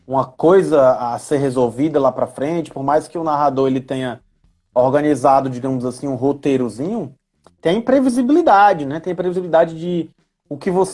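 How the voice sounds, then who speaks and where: A woman's voice: deep voice, darkish tone. A woman with a Brazilian accent explaining things in a casual live chat, in a didactic way, often checking for agreement with little tag questions. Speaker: guest explaining role-playing games in education and work.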